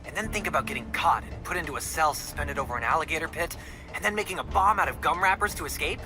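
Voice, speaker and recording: An actor playing a chatty, goofy teenage sidekick in a video game, rambling playfully through odd tangents. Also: male voice, fairly high pitch, fast, music in the background, natural voice. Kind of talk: video game cutscene acting.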